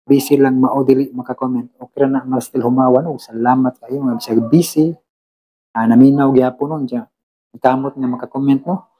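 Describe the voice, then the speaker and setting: A man's voice: medium pitch, steady tone, fairly quick, dark tone, slightly rough, low-quality recording. A man hosting an online radio drama channel, chatting casually and informally to his listeners with frequent tag questions. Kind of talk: casual chat, reading and thanking listener comments.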